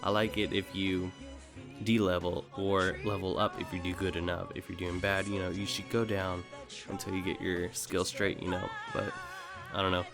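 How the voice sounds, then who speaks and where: A man's voice: sits low, level tone, moderate pace. A man hosting a gaming video, talking casually off the cuff in a hesitant, rambling way as he thinks aloud. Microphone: voice-over recorded over gameplay. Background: music under the voice.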